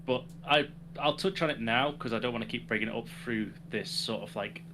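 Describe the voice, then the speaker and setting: A man's voice: mid-range voice, fairly quick, sharp tone, slight background noise. A young British man co-hosting a podcast, talking casually about wrestling and laughing often. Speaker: podcast co-host.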